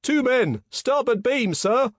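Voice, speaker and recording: A man voicing an alarmed naval lookout in an audiobook, hailing with urgent shouts. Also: extremely high pitch, very animated, takes it slowly, no echo, studio quality, voice pushed up, exaggerated voice. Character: alarmed male ship's lookout shouting warnings.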